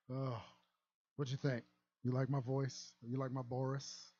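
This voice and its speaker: A man's voice: medium pitch, a little mellow, highs cut off, a little hiss or hum. A young man chatting casually on a livestream about modding a game, rambling and chuckling at himself. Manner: rambling, chuckling, self-deprecating. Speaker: game modder and streamer.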